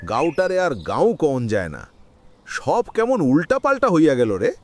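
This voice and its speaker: An actor playing a nostalgic, lamenting old village uncle in a cartoon, with a rural Bangladeshi accent. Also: male voice, on the high side, wildly swooping pitch, moderate pace, a little hiss or hum. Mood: dismayed. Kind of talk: cartoon drama dialogue.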